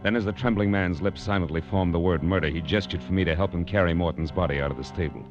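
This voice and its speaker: Casting: man playing low-voiced, tough, wry private eye for vintage radio drama, dull sound with music underneath. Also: quick pace, natural voice. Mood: grim narrating. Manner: hard-boiled, wry, clipped. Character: tough, wry male private detective narrating his case. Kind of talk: 1940s radio detective drama acting. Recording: dull old radio recording, music behind.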